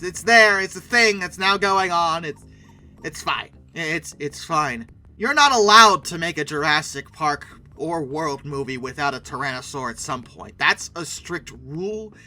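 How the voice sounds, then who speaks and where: A man's voice: on the high side, sharp tone. A man giving wry, sarcastic film commentary as the host of a video channel, leaning emphatically on words.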